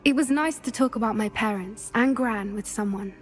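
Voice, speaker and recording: A woman voicing a gentle, earnest teenage witch in a video game, sincere and slightly hesitant. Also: fairly high pitch, moderate pace, faint music behind, close to an everyday voice. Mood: warm, sincere. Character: earnest, gentle teenage schoolgirl at wizard school. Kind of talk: naturalistic video game cutscene acting.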